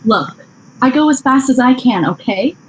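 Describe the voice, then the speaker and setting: A woman's voice: high voice, expressive, moderate pace, slight background noise, low-quality recording. A woman playing a defensive scriptwriter who snaps back at her castmates. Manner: defensive, snippy. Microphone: video call.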